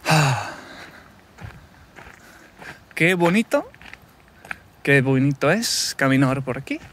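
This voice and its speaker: A man in his thirties from England, his native tongue English, talking to himself in a halting, self-correcting way while walking a wooded path, filming on a phone held at arm's length. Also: mid-range voice, expressive, fairly bright tone, slight background noise. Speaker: language learner recording a practice podcast. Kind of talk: casual spoken practice monologue. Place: wooded countryside path outdoors.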